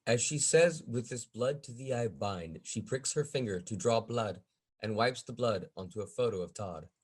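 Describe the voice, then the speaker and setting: Man narrating stage directions, medium pitch. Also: normal speed, husky. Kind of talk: scripted narration at a table read.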